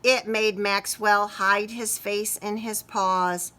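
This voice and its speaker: A woman reading a picture book aloud to young children expressively, pausing to ask them questions. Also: mid-range voice, fairly even intonation, bright, forceful tone, clear, resonant tone. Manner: talks to young listeners, asking questions. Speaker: children's story reader.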